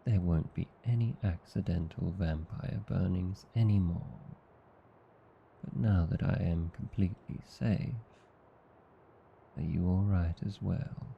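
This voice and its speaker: A man with an Australian accent playing a tender, teasing vampire husband in an ASMR roleplay, soothing, coaxing and calmly hypnotic. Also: low voice, unhurried, creaky, lo-fi sound, a little hiss or hum, heavily acted. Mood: calm, satisfied. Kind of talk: ASMR roleplay, slow intimate scripted acting.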